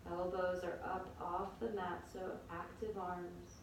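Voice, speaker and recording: A woman teaching a yoga class, calmly guiding breath and movement step by step. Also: fairly low pitch, slow pace. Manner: calm, gentle cueing of breath and movement. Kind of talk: guided yoga instruction. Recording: very echoey room, distant mic, faint noise.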